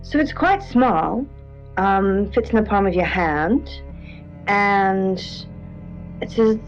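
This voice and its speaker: An actress playing a polite, tentative co-host of a spooky radio show, chatting in an understated, natural way. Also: female voice, medium pitch, expressive, slow. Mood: tentative, uneasy. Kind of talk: conversational late-night radio banter. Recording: dull lo-fi radio sound over music. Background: background music.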